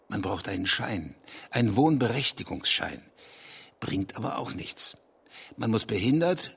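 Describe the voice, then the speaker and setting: An actor in a literary radio drama playing a restless, gruff, evasive East German poet with terse phrasing. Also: male voice, medium pitch, a bit bright, full character voice.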